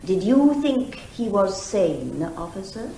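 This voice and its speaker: A woman's voice: medium pitch, very animated, takes it slowly, gravelly, dark tone, a little hiss or hum, heavily acted. A woman playing an insistent barrister in a courtroom comedy drama, putting pointed, formal questions. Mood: brisk, formal. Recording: roomy, echoing sound.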